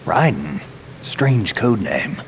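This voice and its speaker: Low, gruff, cynical military man. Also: sing-song, drawn-out words, slight background noise, heavily acted. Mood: cool, practical. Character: hardened, cynical military special-ops man, cool and guarded. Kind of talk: video game voice acting. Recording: music behind the voice.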